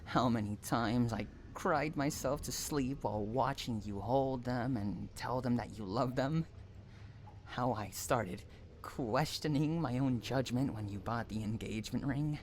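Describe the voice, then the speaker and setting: A man performs an unhinged, possessive stalker in an intimate audio drama, speaking slowly to a captive lover. He coos endearments and threatens in the same breath, with a menacing, obsessive temper. There is some noise behind the voice. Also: medium pitch, close to an everyday voice. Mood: wounded, obsessive. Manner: cooing endearments mixed with threats. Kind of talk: dramatic roleplay monologue addressed to the listener.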